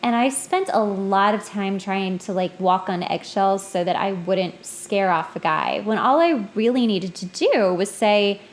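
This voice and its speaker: A woman's voice: mid-range voice, animated, a little slow, few pauses. A woman in her thirties hosting a podcast, chatting casually in a blunt, informal way. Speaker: podcast host. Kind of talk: casual chat.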